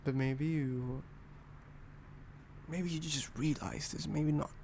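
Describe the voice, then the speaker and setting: A young man from Indonesia with an altered British accent, talking softly and rambling in a boyfriend ASMR roleplay. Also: on the high side, fairly even intonation, takes it slowly, gravelly, slight background noise. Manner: soft, rambling, self-deprecating.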